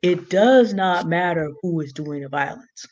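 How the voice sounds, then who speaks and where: A woman with a big, low contralto voice, talking through a point deliberately and earnestly as a panelist in an online discussion. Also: a little mellow, muffled recording. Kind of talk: measured explanatory talk.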